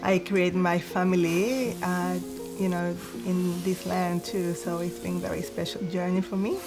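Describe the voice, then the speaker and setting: A woman reflects on her work in an earnest, searching way. She often uses "you know" and "like" as she looks for words. Instrumental music plays clearly under her voice. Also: on the low side, little pitch movement, a little slow. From Argentina. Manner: warm, earnest, with many fillers. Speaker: textile artist. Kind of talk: reflective interview.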